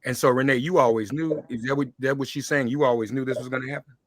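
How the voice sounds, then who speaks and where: A man's voice: mid-range voice, fairly even intonation, fast talker, no echo. A man hosting a conversational interview show, welcoming guests and addressing them directly. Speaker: podcast host interviewing guests.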